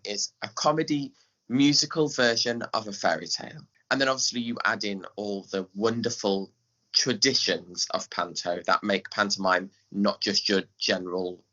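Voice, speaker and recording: Bright, chatty English man, lower-pitched. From Skegness, England. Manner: chatty, informal phrasing. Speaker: podcast guest, theatre director. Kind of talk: casual explanatory chat. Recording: dull, lo-fi audio.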